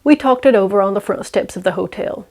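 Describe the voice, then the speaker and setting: A woman's voice: medium pitch, fast, a little mellow. A woman storyteller narrating in a dramatic, scripted read-aloud style.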